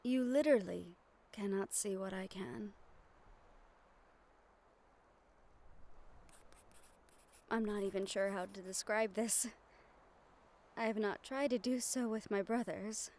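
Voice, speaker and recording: A woman playing a gentle, earnest young magic-wielder in an audio roleplay, soft-spoken and measured with thoughtful pauses. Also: mid-range voice, lightly acted. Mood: gently exasperated. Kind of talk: audio roleplay acting, addressed to the listener. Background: ambient sound effects.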